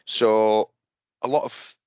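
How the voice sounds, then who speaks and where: Yorkshire guy doing a sales webinar demo, lowish smooth voice, dry sound, kinda dull call audio. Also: steady tone, slow pace, a little mellow, dry recording. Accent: West Yorkshire. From West Yorkshire, England. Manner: chatty, many ums, repeats words while explaining. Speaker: head of sales presenting software. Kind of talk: webinar product walkthrough.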